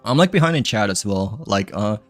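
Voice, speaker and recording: A man's voice: on the low side, expressive, a little fast, soft background music. A man livestreaming a game, chatting in a rambling way full of fillers and giggles, his throat a little dry and tired. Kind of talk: casual game stream chat.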